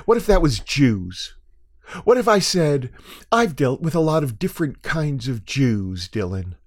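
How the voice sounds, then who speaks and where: A man's voice: medium pitch, very animated, measured pace, pitched above the performer's own voice. An American man voicing a scolding, indignant adult sister in a condescending, accusatory way within a personal story.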